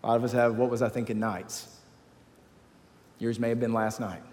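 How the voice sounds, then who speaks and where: A man's voice: mid-range voice, flat delivery, normal speed, a little mellow, long reverb tail. A man preaching a sermon to a men's group, emphatic and repeating key phrases. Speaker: pastor. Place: large church hall.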